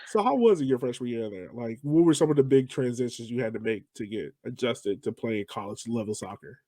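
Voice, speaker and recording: A man's voice: medium pitch, animated, a little fast, mellow, no room sound. Informal American man hosting, dry close sound. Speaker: podcast host, interviewer. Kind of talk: casual chat interview.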